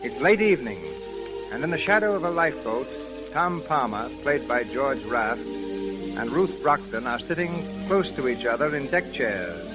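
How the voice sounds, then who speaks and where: A man hosting a radio theatre, reading scripted narration in a formal, measured 1930s showman style. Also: mid-range voice, lively intonation, over music. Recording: crackly, hissy 1930s radio recording.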